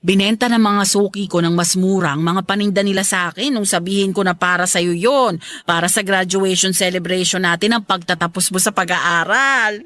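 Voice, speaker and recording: A woman's voice: mid-range voice, fairly quick, fairly bright tone, close to an everyday voice. A woman playing an aging, protective and stubborn but loving Filipino mother in a radio drama, chatty and firm in a motherly way. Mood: caring, chatty.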